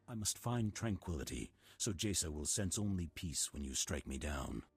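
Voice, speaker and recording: An actor playing a grave, self-sacrificing Jedi master in a video game, speaking in a solemn, formal, measured way. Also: male voice, a bass voice, lively intonation, breathy edge, heavily acted. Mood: calm resignation. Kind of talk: scripted video game acting. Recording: music behind the voice.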